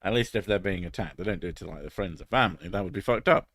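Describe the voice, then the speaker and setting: A man's voice: low voice, quick pace, fairly bright tone, dry recording, clean studio sound. A British man in his thirties chatting casually on a stream, crude and sarcastic, swearing freely. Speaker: streamer reading chat. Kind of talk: casual live stream chat.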